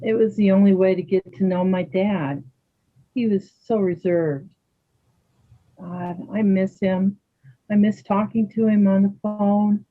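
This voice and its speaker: A woman playing a calm, kind, sporty Canadian woman in a readers theater dialogue. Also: medium pitch, unhurried, close to an everyday voice. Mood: wistful. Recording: thin phone-like sound.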